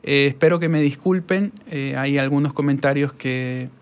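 A man's voice: medium pitch, moderate pace, smooth. A man from Ecuador with a Guayaquil accent, hosting a casual interview podcast from his recording studio and chatting enthusiastically with fillers. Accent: Guayaquil Spanish. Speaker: podcast host and voice-over artist. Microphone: portable recorder.

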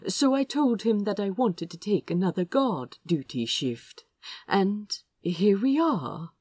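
A woman's voice: mid-range voice, sing-song, slow pace, darkish tone, husky, dry recording, full character voice. A woman voicing a fussy, well-mannered prince who talks in a prim, nervous, wordy rush, as in an audiobook. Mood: excited, smug.

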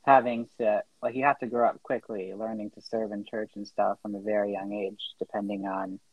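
A young man with a lowish voice co-hosts a podcast, speaking in a thoughtful, measured way. His pitch stays flat. The sound is dry and dull, like a lo-fi mic. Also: dry recording. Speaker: podcast co-host. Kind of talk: casual podcast chat.

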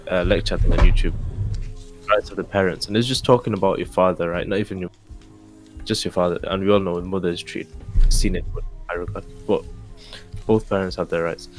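Young male podcast co-host, deep voice, informal chat, faint music bed. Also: fairly even intonation, brisk, rough recording.

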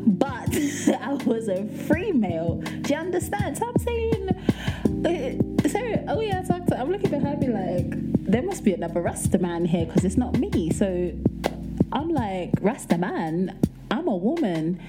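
Woman, light soft voice, playful storytelling, swooping pitch, background music. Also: measured pace. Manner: animated, playful, breaks into singing and laughter. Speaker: podcast host. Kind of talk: casual storytelling.

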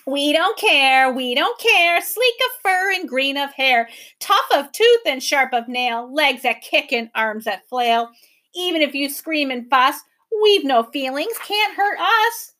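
A woman voicing a gang of jeering, furry green swamp creatures who chant and mock in a shrill, sing-song way. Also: lively intonation, takes it slowly, pushed and bright, exaggerated voice.

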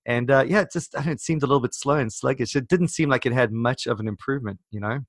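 A man's voice: mid-range voice, quick pace, dry recording, clean studio sound. A South African-born man with an American-sounding accent, co-hosting a casual chat and rambling with lots of fillers and teasing. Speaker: podcast co-host.

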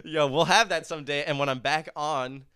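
A man's voice: on the high side, fairly quick, sharp tone, smooth, no echo, clean studio sound. A young man, a musician guest on a podcast, who chats casually in a rambling, laid-back way and laughs often. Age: young adult.

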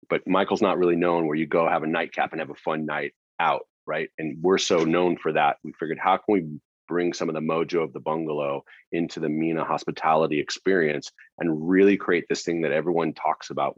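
Deep-voiced man, rambling interview talk. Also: steady tone, brisk, no echo. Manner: rambling, frequent fillers and throat clearing. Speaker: guest, nightlife entrepreneur. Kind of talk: casual interview. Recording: dry, dull lo-fi audio.

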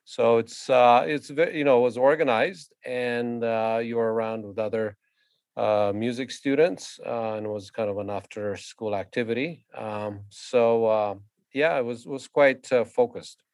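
A man, answering interview questions haltingly with many filler sounds and restarts. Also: mid-range voice, steady tone, a little mellow, no echo, studio quality. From Romania. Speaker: guest violinist and conductor.

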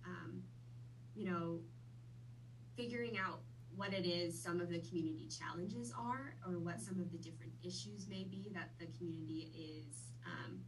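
A woman's voice: on the low side, far from the mic, background noise. A woman in her twenties, born in Vietnam, chatting easily as a podcast guest with plenty of fillers. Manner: friendly, easygoing, many fillers. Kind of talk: casual interview chat.

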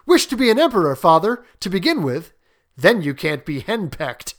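A teasing young man, performed by a male narrator for a storybook. He is clever and cheeky, full of banter. His delivery is lively and pitched fairly high. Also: moderate pace, full character voice. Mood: playful. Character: playful, teasing young adult son. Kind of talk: dramatic storybook reading.

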